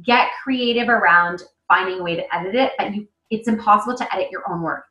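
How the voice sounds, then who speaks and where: A woman's voice: mid-range voice, fairly quick, pushed and bright. A woman in her thirties, an author giving a casual online lesson over a webcam, talking loosely with fillers and restarts. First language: English. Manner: conversational, many fillers and restarts. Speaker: author presenting a webinar. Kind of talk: casual instructional talk. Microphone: webcam on a video call. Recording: echoey room on a webcam mic.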